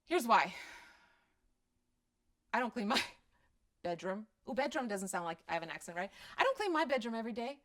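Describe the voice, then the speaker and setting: A woman's voice: fairly high pitch, big pitch swings, moderate pace, pauses often, a bit bright. A middle-aged woman from Croatia with a slight Croatian accent, chatting casually about parenting and answering viewer questions on a live stream, candid and self-deprecating.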